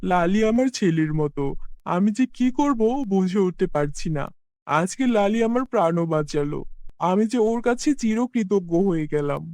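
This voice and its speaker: High-voiced, earnest poor farmer played by a man. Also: expressive, moderate pace, darkish tone, no echo, clean studio sound. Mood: tender, troubled. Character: poor, kind farmer devoted to his beloved cow. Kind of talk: cartoon fairy-tale voice acting.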